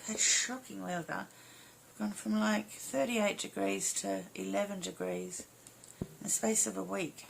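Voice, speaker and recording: Slow-paced, croaky woman teaching a craft. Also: medium pitch, fairly even intonation, slight background noise, rough recording. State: slightly hoarse, croaky voice. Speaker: embroidery instructor. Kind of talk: casual step-by-step craft tutorial.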